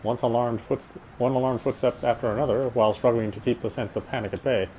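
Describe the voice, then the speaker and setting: A man's voice: medium pitch, fairly even intonation, fast, warm tone, a little hiss or hum, low-quality recording. A man streaming a game and reading its narration aloud, now and then stumbling over words.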